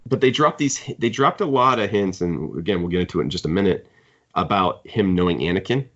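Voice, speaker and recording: A man with a very deep voice chats as a podcast host. He speaks in a halting, stammering way, looping back over his phrases. The recording is a little dull and lo-fi. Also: expressive, fairly bright tone. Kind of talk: casual podcast discussion. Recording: dull, lo-fi sound.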